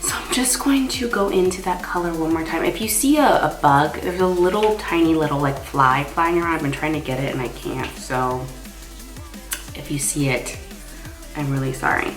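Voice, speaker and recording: A young woman speaks in a low voice, a little stuffy from a cold. She talks casually through a makeup tutorial as a beauty vlogger. The room adds noticeable echo, and faint music plays behind her. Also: normal speed. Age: young adult. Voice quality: slightly stuffy, congested. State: has a cold. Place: small indoor room. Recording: roomy echo.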